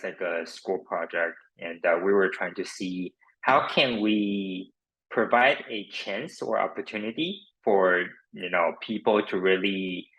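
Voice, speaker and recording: Deep-voiced Taiwanese-accented man, bright tone. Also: low-quality recording. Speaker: crypto startup founder and podcast guest. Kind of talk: casual interview chat.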